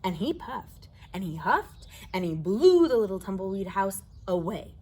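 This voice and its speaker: A woman's voice: medium pitch, very animated, moderate pace, a little mellow, husky. An American woman telling a children's story aloud, expressively and addressing her young listeners directly. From southwestern United States desert.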